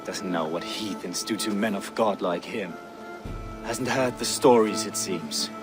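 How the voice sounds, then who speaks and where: An actor playing a grim Viking warrior with a low, dry, sardonic delivery, in game audio. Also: male voice, unhurried, over music, natural voice.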